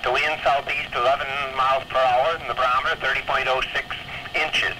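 A man's voice: medium pitch, level tone, brisk. American man on very noisy weather radio. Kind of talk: scripted weather report reading.

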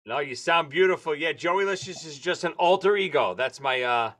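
A man in his forties with a New York accent, a touch higher in pitch than most men. He tells his stories in a lively, animated way over a video call from his home. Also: normal speed. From Brooklyn, New York. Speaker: guest drummer and author. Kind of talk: casual interview chat. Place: home room with lights and posters.

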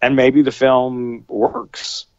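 A man co-hosts a casual film review podcast and talks in halting bursts, often restarting his sentences. The recording is dry and close, with a somewhat dull, lo-fi quality. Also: medium pitch, steady tone, no echo. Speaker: podcast co-host.

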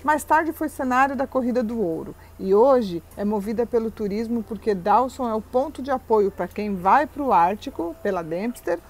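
A woman's voice: on the high side, a little mellow. A Brazilian woman with a Brazilian Portuguese accent narrating a travel vlog in a cheerful, easy manner. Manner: cheerful, laughs often while filming.

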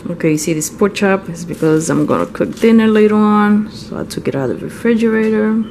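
A middle-aged woman talks casually to her camera at home. Her voice is soft-edged and mellow at an ordinary pitch, and she rambles loosely, amending her words as she goes. There is slight background noise in the room. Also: a little fast. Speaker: home vlogger. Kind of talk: casual vlog chat. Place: at home, indoors, kitchen and nearby room.

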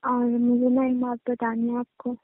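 A woman's voice: fairly high pitch, completely flat delivery, measured pace, no echo, close to an everyday voice. A young woman playing a coy, touchy girlfriend in a conversational couple's phone-call drama. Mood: coy, hesitant. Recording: thin, phone-like call audio.

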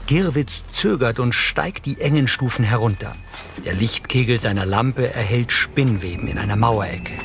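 A man reading scripted audio description in a measured, matter-of-fact way. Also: medium pitch, background noise. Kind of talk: scripted narration, present-tense audio description. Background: music.

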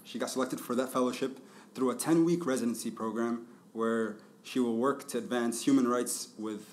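A man's voice: medium pitch, moderate pace, darkish tone, slight background noise. A man hosting a podcast interview, upbeat and friendly, laughing often as he introduces his guest. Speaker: podcast host.

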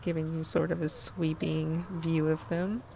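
Female shopping vlogger, low register, casual descriptive delivery, faint background noise of a store. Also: level tone, a little slow, creaky, warm tone. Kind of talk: casual shop-with-me narration.

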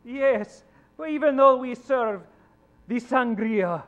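A man's voice: extremely high pitch, takes it slowly, highs cut off. A man playing a dashing, prickly club owner in an old-time radio play. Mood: suave. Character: defensive, dashing Portuguese club owner buying a dress. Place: live theater stage.

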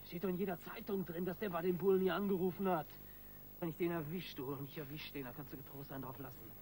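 An actor playing a gruff, vengeful bank robber on the run, cursing and slangy, in a radio crime drama. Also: male voice, fairly high pitch, steady tone, fast talker, a little mellow, slight background noise, close to an everyday voice.

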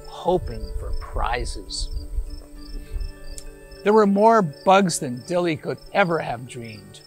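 Slow-reading older man, storybook narrator. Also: a little high, poor audio. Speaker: storytime reader. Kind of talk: reading a rhyming children's picture book aloud. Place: outdoors in a green garden. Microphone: lapel mic.

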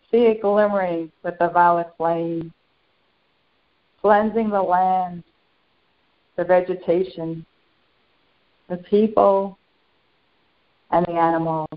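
A woman channeling a serene, benevolent spiritual master, guiding a meditation in slow, short phrases with long pauses. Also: lowish voice, fairly even intonation. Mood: serene, reverent. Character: serene, benevolent ascended master St. Germain addressing followers. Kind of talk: guided meditation. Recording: dull lo-fi sound.

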